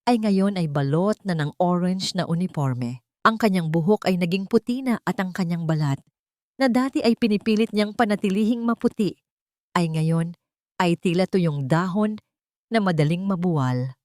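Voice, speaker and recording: A woman's voice: fairly low pitch, moderate pace, darkish tone, no room sound. Woman narrating a dramatic story, close and dry. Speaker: audiobook narrator.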